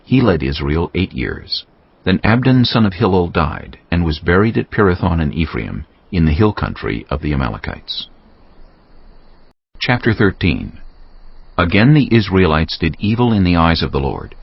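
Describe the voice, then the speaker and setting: A man's voice: sits low, gravelly, breathy edge, no echo. A man narrating a dramatized reading of scripture as the storyteller. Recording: dull band-limited sound. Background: background music.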